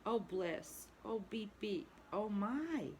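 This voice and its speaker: A woman voicing a boastful, excitable male toad in a storybook read-aloud, talking in a dreamy, gushing way. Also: mid-range voice, slow pace, slight background noise, voice pushed up. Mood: dreamy rapture.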